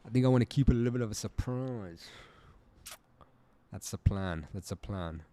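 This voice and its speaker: A young South African man hosting a casual live stream, joking, laughing a lot and talking in relaxed slang. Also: fairly low pitch, normal speed. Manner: laid-back, joking, laughs often, slangy. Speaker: livestream trading host.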